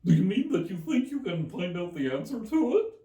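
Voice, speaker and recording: A man voicing a blustering, excitable male March Hare from a storybook, loud and argumentative. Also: sits high, a little fast, roomy sound, recorded from a distance, voice pushed up.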